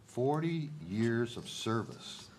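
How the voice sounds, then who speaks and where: A man's voice: medium pitch, very animated, slow pace, a little mellow. An older American man chairing a village board meeting, switching between procedure and casual remarks, nasal from a head cold. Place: municipal board meeting hall. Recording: noisy public meeting room microphone.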